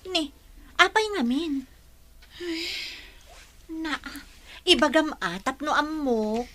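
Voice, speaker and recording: Casting: supportive young best friend, Ilocano accent, bright forward voice, slightly high, radio serial. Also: female voice, expressive, slow pace, exaggerated voice. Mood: concerned, consoling. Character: caring young female confidante. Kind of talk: Ilocano radio drama dialogue.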